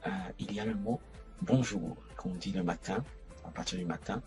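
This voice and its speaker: A man's voice: sits low, normal speed, darkish tone, faint music behind. A man hosting an educational video, explaining informally to the viewer in a hesitant way, often repeating and correcting himself. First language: French. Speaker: host of an educational video series.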